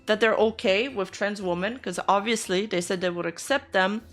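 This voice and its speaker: A woman's voice: medium pitch, normal speed, fairly bright tone, soft background music. A woman VTuber streamer giving casual reaction commentary, blunt and sarcastic, swearing freely.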